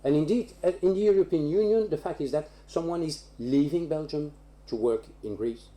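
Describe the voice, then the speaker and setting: A man's voice: a little high, normal speed, dark tone. A man speaking as an academic panelist in a round-table discussion, repeating words for emphasis.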